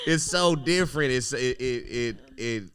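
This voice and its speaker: A man's voice: mid-range voice, unhurried, fairly bright tone, clear, resonant tone. A man co-hosting a podcast, chatting loosely and joking while he laughs along with his guests. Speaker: podcast co-host. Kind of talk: casual podcast chat.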